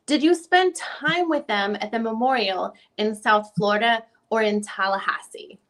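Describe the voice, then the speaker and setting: A woman's voice: on the high side, normal speed, a bit bright, close to an everyday voice. A woman reading the part of a probing lawyer questioning a witness at a deposition. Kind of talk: dramatic reading of legal questioning.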